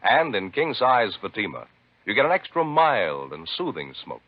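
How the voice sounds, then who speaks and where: A man's voice: on the low side, very animated, moderate pace, dry recording. A man reading a scripted 1950s radio advertisement with a polished salesman delivery. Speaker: commercial announcer. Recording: dry, dull 1950s radio recording.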